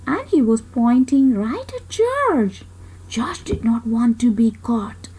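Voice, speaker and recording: A woman reading a children's story aloud in an expressive way, with dramatic pauses and emphasis. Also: on the high side, slightly rough, low-quality recording.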